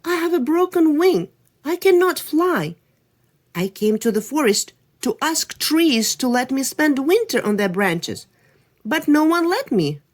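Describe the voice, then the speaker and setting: A woman's voice: sits high, sing-song, a little slow, voice pushed up. A woman voicing a small, timid female bird with a broken wing, asking for shelter in a storybook tale. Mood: sad. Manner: pleading and timid.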